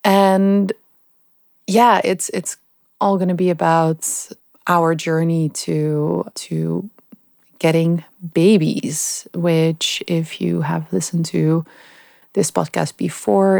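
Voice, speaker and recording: A young woman with a low, gravelly voice talks through her podcast, stuffy and nasal from a flu. She speaks candidly, with halting pauses and plenty of fillers. The sound is close and dry, with faint music underneath. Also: steady tone, darkish tone, no room sound. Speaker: podcast host. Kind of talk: casual personal podcast monologue.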